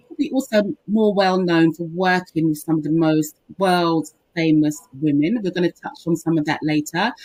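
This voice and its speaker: A woman's voice: fairly low pitch, a little mellow, husky, poor audio. A woman hosting a podcast, introducing her guest enthusiastically and asking about her background. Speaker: podcast host.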